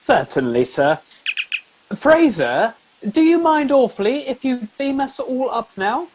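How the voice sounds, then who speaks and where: A man's voice: very high-pitched, very animated, a little slow, slight background noise, exaggerated voice, low-quality recording. A man playing a mild, polite and fussy sergeant in a comic radio play. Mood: politely fussy.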